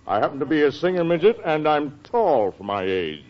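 A man playing a cranky, cocky little-person singer with gruff slangy wisecracks in a 1940s radio comedy. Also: fairly high pitch, sing-song, a little fast. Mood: irritated, cocky. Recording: muffled, noisy old-time radio recording.

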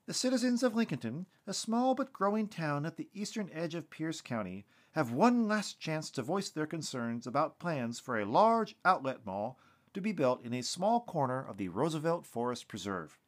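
A man's voice: a little high, big pitch swings, moderate pace, voice pushed up. A man voicing a polished anchorwoman who delivers local TV news in a formal, emphatic way. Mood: brisk, serious.

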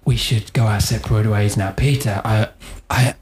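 A man's voice: fairly low pitch, fairly even intonation, moderate pace, lightly acted. A man playing a matey, hesitant veteran detective in an improvised comedy audio drama. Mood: wistful, sincere. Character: weary veteran male detective, matey and self-satisfied. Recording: roomy echo, faint noise.

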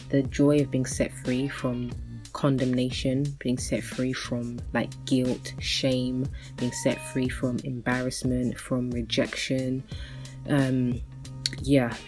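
A woman in her thirties hosting a solo podcast, chatting casually and rambling with many fillers and restarts. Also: rumbling low. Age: 30s. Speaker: podcast host.

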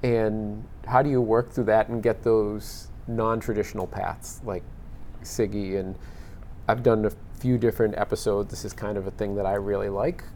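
A man's voice: lowish voice, fairly even intonation, moderate pace, a little mellow, a little hiss or hum. A man hosting a panel discussion, asking long searching questions in a conversational way. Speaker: podcast host.

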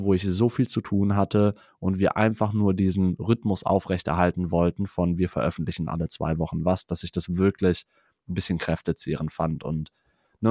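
A man's voice: deep voice, no echo, clean studio sound. A man with a cold, co-hosting a podcast, chatting casually and reflectively in long run-on sentences. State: has a cold. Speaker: podcast co-host.

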